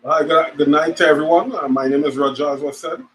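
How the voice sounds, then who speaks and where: Man, 40s, guest on a video call, halting and hesitant, faint noise behind. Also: a little high, fairly even intonation, rough recording. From Trinidad and Tobago. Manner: hesitant, lots of ums and restarts. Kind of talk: casual chat on a video call.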